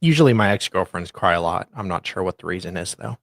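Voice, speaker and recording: A man's voice: low voice, expressive, fast, gravelly, dry recording, studio quality. A man co-hosting a show in casual, conversational chat. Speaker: co-host.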